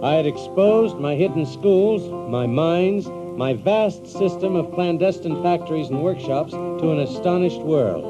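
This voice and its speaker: Animated, high-pitched boastful Yankee storyteller. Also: male voice, unhurried, few pauses. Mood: reflective, pleased. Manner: theatrical, animated, with chuckles. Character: boastful, excitable Yankee adventurer telling his tale. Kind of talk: dramatized audiobook first-person narration. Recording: dull lo-fi audio with loud music.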